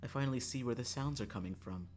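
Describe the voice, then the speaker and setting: A man livestreaming a visual novel, moving between casual, profane, joking chat and reading the game text aloud, quick to laugh. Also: mid-range voice, darkish tone, a little hiss or hum. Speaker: streamer playing a visual novel.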